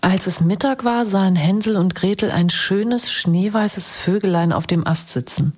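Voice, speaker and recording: An actress playing a hushed, breathy fairy-tale storyteller reading from a storybook in a radio drama. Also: female voice, mid-range voice, moderate pace, lightly acted. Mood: hushed, narrating.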